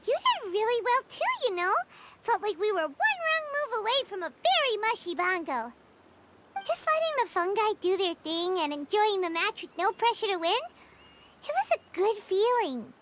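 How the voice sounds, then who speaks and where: Squeaky, very high childlike fairy girl. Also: moderate pace, very noisy. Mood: cheerful. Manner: refers to self in third person, expressive. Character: tiny childlike floating fairy girl companion, excitable and chatty. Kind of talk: anime video game voice acting. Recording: music behind the voice.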